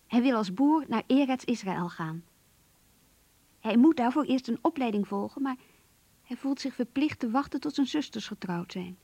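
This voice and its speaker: An actress playing an earnest, lovestruck young woman confiding her diary in a radio play, in a hushed, breathy voice. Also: fairly high pitch, no room sound. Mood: tender, wistful. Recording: muffled, lo-fi radio play recording.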